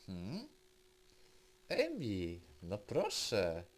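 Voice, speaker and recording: Slow-talking Polish man gaming. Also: fairly low pitch, wildly swooping pitch, a little hiss or hum. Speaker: gamer commenting on gameplay. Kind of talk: casual gameplay commentary.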